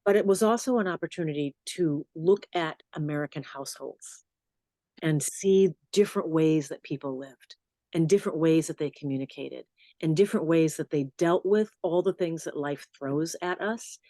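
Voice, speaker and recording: A middle-aged woman with a notably low, deep voice talks in a relaxed, storytelling way, dropping into lively asides now and then. She sounds close and dry, as if right at a studio microphone. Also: moderate pace, dry recording. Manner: warm storytelling, animated asides. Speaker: journalist and podcast host. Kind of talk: relaxed interview answers.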